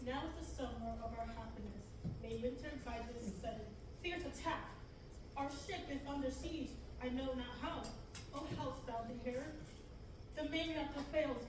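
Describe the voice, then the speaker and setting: A fussy, anxious robot servant, read by a young woman in a high voice, fretting through old-fashioned verse. Heard from far off across an echoey classroom, with hiss behind. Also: moderate pace, natural voice. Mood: fretful. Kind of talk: student table reading in Elizabethan verse. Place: large classroom, reading from a script. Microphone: far camera.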